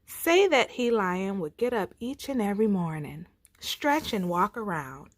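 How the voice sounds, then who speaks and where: A woman reading a storybook aloud to children with expressive, folksy, dramatic phrasing. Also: medium pitch, measured pace, no room sound.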